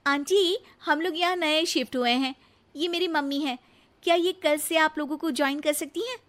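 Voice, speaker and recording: A woman voicing a caring, eager young daughter-in-law in a cartoon moral story, polite and coaxing in an animated way. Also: high voice, moderate pace, voice pushed up.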